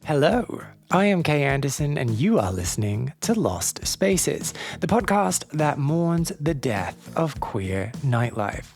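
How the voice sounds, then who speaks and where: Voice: medium pitch, big pitch swings, slow, few pauses, a little mellow, faint music behind. A podcast host opening the show with a scripted intro, then chatting casually, dropping wry self-deprecating asides.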